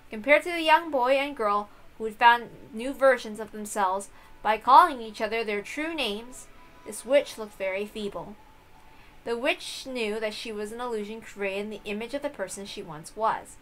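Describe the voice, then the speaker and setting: Female visual novel reader, higher voice, expressive storytelling that slips into casual chat, music underneath. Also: normal speed, poor audio. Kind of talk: expressive read-aloud narration with casual asides.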